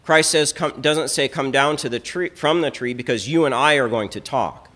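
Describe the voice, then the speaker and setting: A man's voice: mid-range voice, moderate pace, pushed and bright. A middle-aged Orthodox priest giving a teaching talk at a lectern in a small church hall, emphatic and given to repeating words as he builds a point. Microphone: stand mic on lectern, filmed from a fixed camera.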